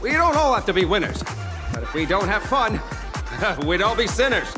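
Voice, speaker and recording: An actor playing a jolly knight contestant who speaks in sing-song rhymes in a game. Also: male voice, sits high, sing-song, moderate pace, over music. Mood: jovial. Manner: sing-song, rhyming, jovial.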